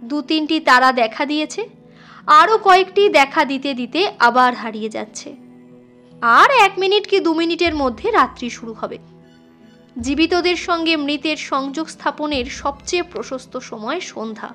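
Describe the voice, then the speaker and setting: A woman with a high, bright voice narrates a literary tale. She reads as a scripted audiobook storyteller, with music playing behind her. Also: lively intonation, normal speed.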